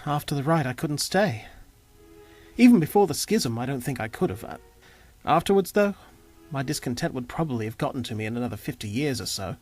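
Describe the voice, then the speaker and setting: A man's voice: mid-range voice, expressive, a little fast, darkish tone, lightly acted. A man playing an earnest, flustered young time lord on the run, hesitant and rambling, in an audio drama. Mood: rueful. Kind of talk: audio drama character dialogue. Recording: music behind the voice.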